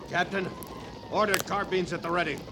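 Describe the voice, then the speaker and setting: An actor playing a rigid, haughty army major in an old-time radio western, formal, stiff and indignant. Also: male voice, high-pitched, steady tone, moderate pace, gravelly. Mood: tense, insistent. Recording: slightly noisy vintage radio recording.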